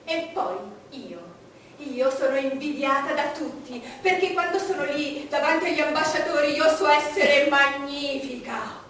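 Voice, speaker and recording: An actress playing an imperious, vain, solitary English queen in a declamatory stage monologue with dramatic pauses. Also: sits high, a bit bright, far from the mic. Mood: grandiose, haughty. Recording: noisy, echoey stage recording from afar.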